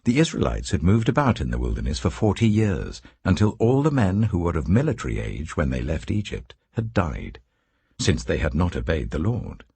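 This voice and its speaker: A man reading scripture as a scripted narration, in a solemn, measured storytelling manner with deliberate phrasing. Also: low voice, wildly swooping pitch, gravelly, mellow, slightly rough, no echo. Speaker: Bible narrator.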